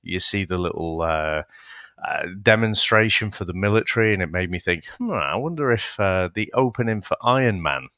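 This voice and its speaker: A man with a very low voice and a bright, forceful tone, hosting a casual film review chat. He talks in a measured, easygoing way and laughs along with his co-host. The sound is dry and close-miked. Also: lively intonation, no room sound. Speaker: podcast host.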